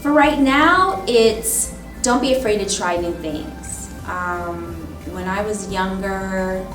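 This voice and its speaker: A woman in her thirties, a teacher, reflecting thoughtfully in an oral history interview, often pausing with "um" and "you know". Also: mid-range voice. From Michigan, raised in Ohio. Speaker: teacher and instructional coach. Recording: roomy, distant mic with music behind.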